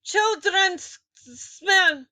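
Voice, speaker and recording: Screeching witch queen, very high, loud, dry close mic. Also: lively intonation, slow pace, no echo, exaggerated voice. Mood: screaming rage. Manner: screaming, spitting rage. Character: furious shrieking ancient female witch queen. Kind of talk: audiobook character voice.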